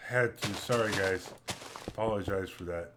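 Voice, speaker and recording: A middle-aged man with a deep voice, chatting calmly and casually with viewers on his live stream from home. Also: moderate pace, background noise. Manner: calm, laid-back, chatty with viewers.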